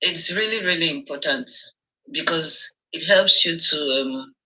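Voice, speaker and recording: A woman's voice: low-pitched, a little slow, pushed and bright, low-quality recording. A woman with a Nigerian accent calling in to share a testimony, telling her story with hesitant ums. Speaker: caller sharing a testimony. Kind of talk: call-in testimonial.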